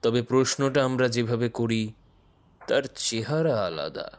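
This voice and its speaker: A man playing a calm, grave, enigmatic investigator who speaks in measured riddles in an audio drama. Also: medium pitch, natural voice. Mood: calm, mysterious. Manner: cryptic, measured delivery trailing off softly. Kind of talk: dramatic audio story acting.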